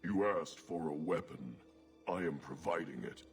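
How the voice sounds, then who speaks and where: Solemn giant warrior, very deep, stern, deliberate, fantasy game cutscene, faint music. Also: male voice, vocal fry, exaggerated voice. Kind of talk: video game cutscene acting.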